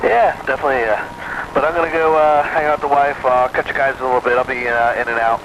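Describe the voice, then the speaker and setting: A man's voice: mid-range voice, fairly even intonation, quick pace. A man chatting casually with fellow hobbyists over two-way radio. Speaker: radio hobbyist on a CB round-table. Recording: muffled CB radio with clear noise.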